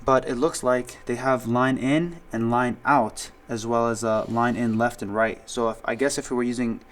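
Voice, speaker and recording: A man's voice: mid-range voice, fairly even intonation, normal speed. A man giving a casual hands-on tutorial as an instructor, explaining things step by step in plain words and repeating key points. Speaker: instructor demonstrating audio gear.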